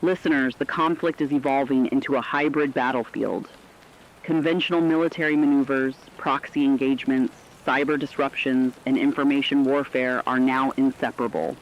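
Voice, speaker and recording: A man playing a serious radio war correspondent in a formal, measured scripted news broadcast. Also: medium pitch, steady tone, lo-fi sound, slight background noise, lightly acted.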